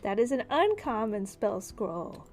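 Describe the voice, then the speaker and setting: A woman's voice: a little high, sing-song, measured pace. A woman acting as game master, casually narrating a tabletop game and explaining its rules. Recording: music behind the voice. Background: background music.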